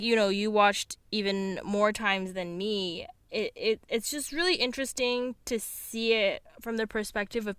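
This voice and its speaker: Clear-voiced woman podcast host, closely recorded. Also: mid-range voice, fairly bright tone, dry recording. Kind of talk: conversational podcast hosting and narration.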